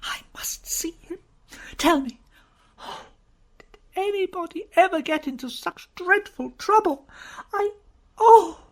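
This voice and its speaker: A woman voicing a distraught young noblewoman with a breathless, pleading, high voice. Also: expressive, moderate pace, husky. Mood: frantic.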